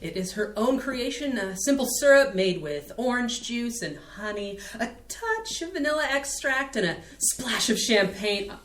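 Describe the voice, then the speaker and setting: A woman's voice: fairly high pitch, expressive, moderate pace, hardly any pauses, a bit bright. A woman reading the part of a disdainful woman in a staged play reading, dry and pointed. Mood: cool. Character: cool, disdainful woman guarding her friend. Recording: roomy stage sound.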